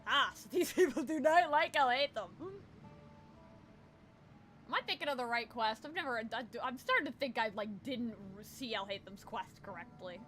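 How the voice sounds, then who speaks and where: A woman's voice: a little high, expressive, moderate pace. A woman hosting a gaming video with casual, blunt, slangy commentary full of swearing. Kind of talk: casual gameplay commentary with profanity. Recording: loud music behind the voice. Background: video game music.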